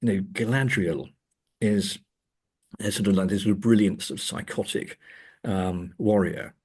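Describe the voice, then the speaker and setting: Low, husky-voiced man in discussion. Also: a little mellow. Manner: hesitant, halting delivery with repeated words. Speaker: interview guest, academic author. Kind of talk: thoughtful interview discussion of screen adaptations.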